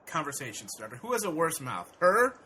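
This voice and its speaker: A man in his thirties joining a podcast chat as a guest co-host over a video call, cracking crude, mocking jokes and laughing loudly. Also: a little high, sing-song, brisk, background noise. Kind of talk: casual podcast banter.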